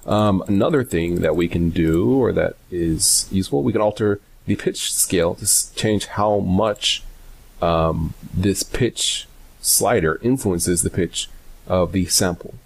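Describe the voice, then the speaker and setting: A man giving a casual software tutorial, hesitant and informal as he thinks aloud. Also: deep voice, unhurried, gravelly, husky. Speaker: tutorial host.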